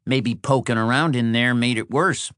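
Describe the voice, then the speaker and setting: A man's voice: medium pitch, moderate pace, fairly bright tone, smooth, dry recording, studio quality, pitched above the performer's own voice, close to an everyday voice. A man voicing an aggrieved, blunt, stubborn motel guest in an audiobook, defensive and colloquial.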